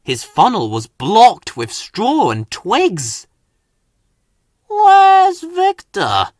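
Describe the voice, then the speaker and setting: A man reads a children's storybook aloud in a dry, close recording. He takes his time, and his pitch rises and falls very widely to dramatise the tale. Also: wildly swooping pitch, slow pace, slightly rough, no echo. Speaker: story narrator. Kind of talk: expressive children's storybook reading.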